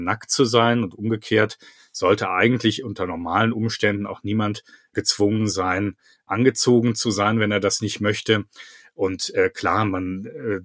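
Reflective low-voiced man, dry close sound. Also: fairly bright tone, dry recording. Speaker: interview guest. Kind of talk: conversational personal account.

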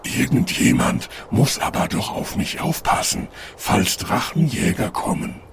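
A man voicing an anxious, needy snow dragon with a gravelly voice in a fairy-tale audio play. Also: fairly low pitch, expressive, measured pace, heavy background noise.

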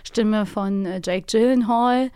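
Woman, podcast co-host, medium pitch, playful colloquial chat, dry close recording. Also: takes it slowly, dark tone, clear, resonant tone, dry recording. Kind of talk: casual chat about radio plays.

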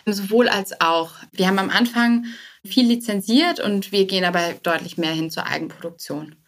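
Woman guest on a podcast, medium pitch, explains stuff casually and rephrases as she goes. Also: moderate pace. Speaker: guest, startup co-founder. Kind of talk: conversational podcast interview answers.

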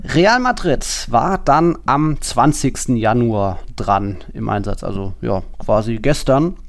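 A man with an average-pitched voice and plenty of vocal fry co-hosts a sports podcast. He talks casually and conversationally, in a laid-back manner. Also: lively intonation, a little slow. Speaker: football podcast co-host.

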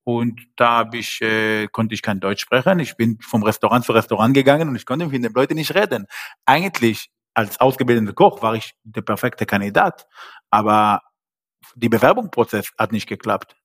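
A man's voice: mid-range voice, moderate pace, a bit bright. A man whose first language is not German, a podcast guest speaking hesitantly with frequent fillers and grammar slips. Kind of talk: podcast interview.

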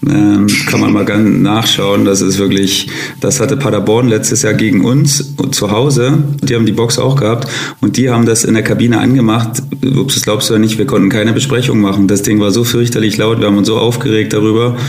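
A man with a low, gravelly voice tells stories about his team in a flat, even tone. He speaks emphatically and keeps restarting his sentences. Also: a little fast. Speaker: podcast co-host, footballer. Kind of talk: casual sports podcast chat. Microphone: phone line.

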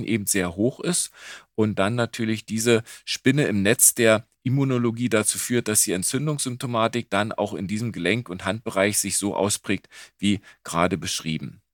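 A man speaks as a medical expert, explaining in a didactic way with small asides and points of emphasis. His voice is fairly low and recorded dry, without room sound. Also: no room sound. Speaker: expert rheumatologist.

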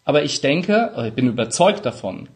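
A man's voice: mid-range voice, sing-song, fairly quick. A man, explaining conversationally as an interview guest and illustrating his points with examples. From Palatinate, Germany. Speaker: interview guest, lawyer, mediator and negotiation trainer.

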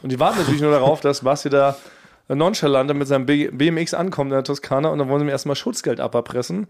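A man's voice: on the high side, fast talker, darkish tone. A man co-hosting a podcast in casual comedic banter, with playfully wordy, mock-formal phrasing. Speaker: podcast co-host.

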